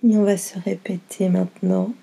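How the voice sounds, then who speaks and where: A woman leads a guided meditation with a soft, husky voice that stays dark and mellow. She speaks gently in short, soothing phrases, leaving long pauses between them. There is a light fry in her voice as she gives each unhurried instruction. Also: medium pitch, level tone. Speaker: yoga nidra guide.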